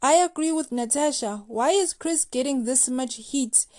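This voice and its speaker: Woman, high voice, dry close sound, playful gossipy recap narration, slangy. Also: normal speed, dark tone, no room sound. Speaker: host of a reality TV recap show.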